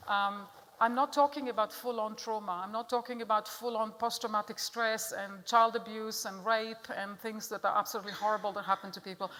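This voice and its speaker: A woman's voice: medium pitch, fairly even intonation, a little fast, pushed and bright. A middle-aged woman from Israel with an Israeli accent gives an earnest, direct talk to an audience and puts questions to them. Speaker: speaker giving a talk. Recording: long hall reverb.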